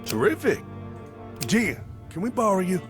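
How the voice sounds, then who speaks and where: Earnest, animated jazz musician. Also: male voice, sits high, a little slow, over music. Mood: hopeful, earnest. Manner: hesitant, folksy, mild exclamations. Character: earnest, chipper male jazz musician and party organizer. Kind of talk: voiced dialogue in a narrative RPG.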